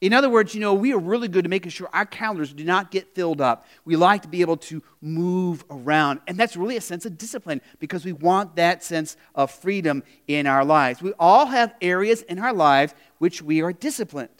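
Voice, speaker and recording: A man with a high voice preaches a sermon to his congregation. He talks in a loose, conversational way, restarting phrases and throwing questions out to the listeners. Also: brisk, hardly any pauses. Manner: conversational preaching with frequent "you know" and restarts. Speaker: pastor.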